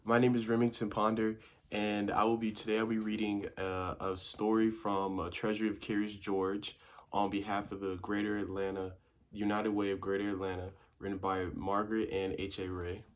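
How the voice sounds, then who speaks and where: A man's voice: lowish voice, level tone, normal speed. A man reading a children's story aloud as a volunteer, informal and occasionally stumbling over his words.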